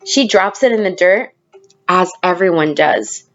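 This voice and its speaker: A woman teacher reading a picture book aloud expressively, pausing to prompt young listeners with questions. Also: lowish voice, lively intonation, fairly bright tone, lo-fi sound. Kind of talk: storybook read-aloud with questions to children.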